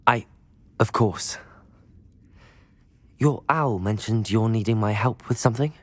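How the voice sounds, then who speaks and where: A man's voice: on the low side, a little slow, no echo. A young man playing a polite, helpful teenage student in a video game, speaking in a courteous, earnest way. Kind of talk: video game dialogue acting.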